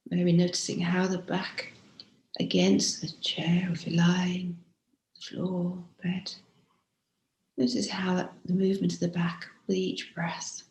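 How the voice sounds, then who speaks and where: A woman guiding a body scan meditation as a teacher, speaking gently and softly, unhurried, with frequent pauses and breathy phrase endings. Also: lowish voice, level tone. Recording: roomy, echoing room sound.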